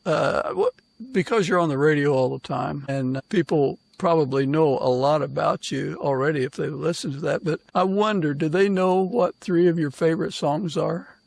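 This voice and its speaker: A man's voice: fairly high pitch, moderate pace, creaky, hardly any pauses, dry recording. A man hosting a podcast interview, asking questions in a casual conversational style. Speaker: podcast host.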